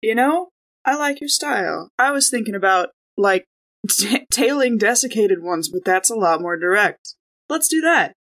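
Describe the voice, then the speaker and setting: A woman's voice: mid-range voice, expressive, normal speed, a bit bright. Playful woman bantering at a tabletop game. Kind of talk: casual chat and in-game narration.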